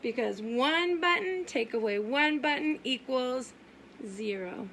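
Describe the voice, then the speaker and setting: A woman preschool teacher reading a story aloud for young children in a sing-song, exaggerated way, asking questions and pausing. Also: high voice, animated, a bit bright, slight background noise, rough recording. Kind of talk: animated children's story time read-aloud.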